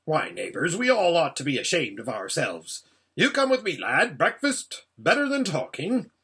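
A man voicing an excitable, bossy but kindly red dwarf from a storybook, with loud, urgent exclamations. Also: a little high, normal speed, breathy edge, heavily acted. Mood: bustling concern.

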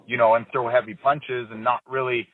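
A man's voice: medium pitch, a little fast, dry recording. Man in his thirties on a tinny phone line. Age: 30s. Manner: relaxed, rambling with many fillers and restarts. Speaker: MMA fighter, interview guest. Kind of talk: casual phone interview.

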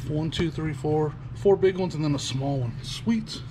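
A man's voice: a little high, a little slow. Chatty middle-aged man sorting finds. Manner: chatty, upbeat, thinking aloud while sorting items. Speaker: storage locker reseller. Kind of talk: casual unboxing commentary. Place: metal storage unit. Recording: loud background noise.

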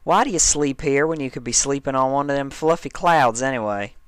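A man's voice: medium pitch, a little fast, clean tone, no echo, pitched above the performer's own voice, close to an everyday voice. A man voicing a confident, teasing female farm pony with a folksy manner in a story reading.

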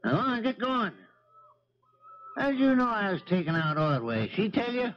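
An actor playing a gruff, folksy old charter boat captain in a 1970s radio drama. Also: male voice, high voice, big pitch swings, moderate pace. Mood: gruff, suspicious. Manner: folksy, blunt, suspicious. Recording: dull 1970s radio recording, faint noise.

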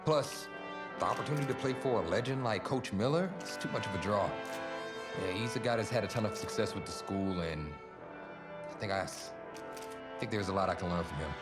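A man acting an ambitious young college football quarterback in a sports game. His voice is on the deep side with lively intonation, often with loud music behind it. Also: brisk, close to an everyday voice. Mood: earnest. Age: young adult. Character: eager young male college quarterback, ambitious and laid-back. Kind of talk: video game cutscene acting.

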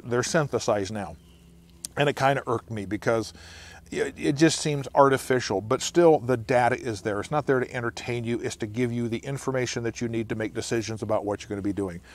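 An American man in his sixties hosting an informal outdoor explainer, talking to camera into a lapel mic in the woods. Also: mid-range voice. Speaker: outdoor preparedness video host.

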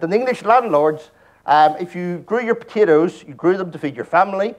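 Irish man giving a joking conference talk. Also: on the high side. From Ireland. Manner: joking, wry asides. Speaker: conference speaker. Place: large presentation hall.

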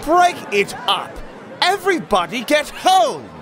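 A voice actor playing a villainous male fox who rules a town, barking orders gruffly. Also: high-pitched, sing-song, slow pace, a crowd in the background, background noise, full character voice. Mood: bossy. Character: villainous male fox mayor, bossy bully. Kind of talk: comic dub voice acting. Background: music.

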